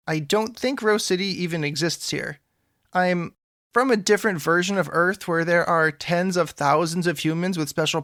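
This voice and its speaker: A man's voice: on the high side, a bit bright, no echo, studio quality. A man playing an earnest, awkward young superhero sidekick who politely rambles through explanations in an audio drama. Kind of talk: scripted audio drama dialogue.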